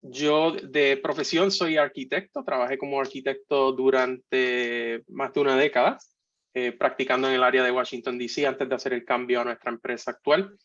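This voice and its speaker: A man's voice: mid-range voice, fairly even intonation, moderate pace, a bit bright. A man in his forties with a Puerto Rican accent, giving a webinar talk in a jocular, hesitant way full of fillers and restarts. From Puerto Rico. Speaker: guest speaker. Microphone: video call. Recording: muffled online call audio.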